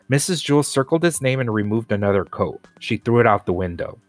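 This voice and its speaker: A man's voice: medium pitch, no room sound. A man narrating a children's storybook aloud as a scripted reading. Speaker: storybook reader.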